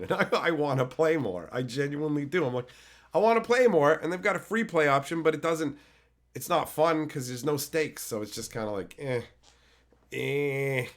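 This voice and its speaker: Male streamer, hoarse with a cold, loose and foul-mouthed, reading chat aloud. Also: medium pitch. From Canada. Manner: loose, swears freely, answers chat by name. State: hoarse from being sick. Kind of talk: casual live-stream chat.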